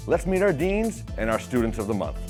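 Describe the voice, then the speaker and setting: Lively announcing man, distant mic. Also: medium pitch, fairly quick, soft background music. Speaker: high school principal, show host. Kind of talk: scripted show opening.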